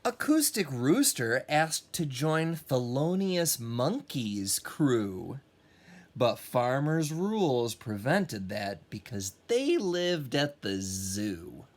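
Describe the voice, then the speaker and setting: A man's voice: medium pitch, sing-song, slow. A man who teaches music, reading a children's storybook aloud expressively with casual, encouraging asides.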